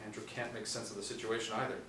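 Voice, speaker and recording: A man's voice: fairly low pitch, flat delivery, racing, sharp tone. A man in his forties giving scripted narration, reading out screen directions. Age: 40s. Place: small indoor room, seated group. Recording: roomy, distant mic with faint noise.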